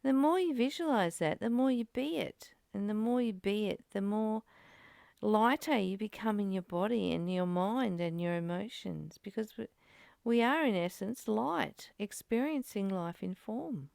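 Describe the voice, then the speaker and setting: Woman, spiritual study host, creaky higher voice, earnest, reflective questions, close dry sound. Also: lively intonation, unhurried, a little mellow, no room sound. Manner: earnest, uses rhetorical questions. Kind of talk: informal spiritual teaching and discussion.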